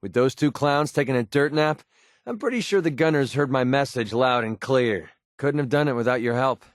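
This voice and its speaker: A guarded young male mercenary from a video game, acted by a man, with a mid-pitched voice in a very dry recording. He is sarcastic and quick to bristle, yet loyal underneath. His delivery is naturalistic and plain-spoken, with an earnest, wounded edge. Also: no echo, natural voice. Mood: wry, relieved. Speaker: companion character in a video game.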